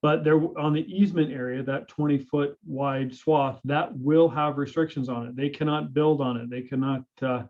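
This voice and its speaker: A man's voice: medium pitch, steady tone, narrow, tinny audio. Hesitant man giving a council report. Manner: measured, hesitant with frequent fillers. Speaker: public works director. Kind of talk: presenting a staff report at a council meeting.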